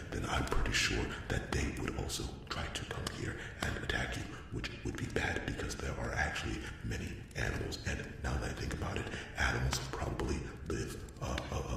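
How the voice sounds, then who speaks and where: Guy doing a super deep flat croaky dwarf smith, shy n stammery, hushed asmr roleplay, really echoey. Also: full character voice. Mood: earnest, cautioning. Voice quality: low, gravelly, hushed, often breaking into whisper. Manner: halting, stammering, awkwardly polite, rambling. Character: shy, eager exiled young dwarf blacksmith, aged 120. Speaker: roleplay performer. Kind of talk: ASMR character roleplay monologue. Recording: echoey, distant, hissy noise, clicks.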